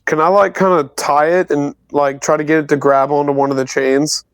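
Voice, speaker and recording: A man playing in a tabletop roleplay game, asking eager, halting questions. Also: a little high, steady tone, clear, resonant tone, dry recording. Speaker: player. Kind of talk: casual tabletop game play.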